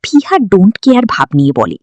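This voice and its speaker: Female storyteller, highly animated intonation, dry close sound, expressive romantic fiction narration. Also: fairly quick, no room sound.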